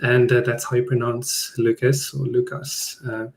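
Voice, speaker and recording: A man's voice: mid-range voice, little pitch movement, measured pace, creaky. A man, an Afrikaans speaker, presenting a live online design talk in a plain, conversational way. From South Africa. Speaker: product designer presenting a webinar.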